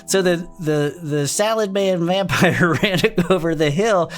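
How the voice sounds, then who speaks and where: A woman's voice: fairly low pitch, a little slow, natural voice. A woman playing a wry, skeptical sidekick, reacting to tall tales in comic podcast banter. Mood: incredulous. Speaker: podcast co-host.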